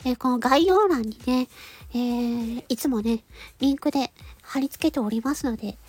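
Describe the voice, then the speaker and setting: Casting: female amateur voice actor, very high cutesy nasal tone, polite informal channel chat in short halting bits. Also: slightly rough. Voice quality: nasal, stuffy-nosed; cute, cartoon-like voice. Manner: polite, giggly, halting phrases ending in a soft filler. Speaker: amateur voice actor hosting a personal audio channel. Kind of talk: casual chat to listeners.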